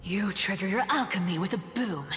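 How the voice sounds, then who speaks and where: An actress voicing a sly, playful young demigoddess of trickery in a game, with a taunting, expressive delivery. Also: female voice, medium pitch, fairly quick, natural voice. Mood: scheming, confident. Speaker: voice actor in a game. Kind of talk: dramatic game dialogue. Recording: reverberant hall with music underneath.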